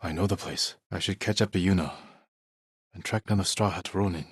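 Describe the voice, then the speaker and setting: An actor playing a grave, honor-bound young samurai lord in a video game, speaking in a measured, restrained way with short, solemn sentences. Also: male voice, low-pitched, husky, no echo, studio quality. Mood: hushed, tense.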